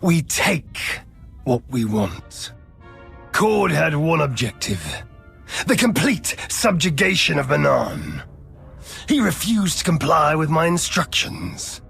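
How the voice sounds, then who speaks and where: An actor playing a haughty, wrathful Sith lord in a video game, with an imperious, menacing, theatrical delivery over music. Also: male voice, fairly high pitch, big pitch swings, takes it slowly, vocal fry, a bit bright, husky, full character voice. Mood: scornful, angry. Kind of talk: dramatic video game acting.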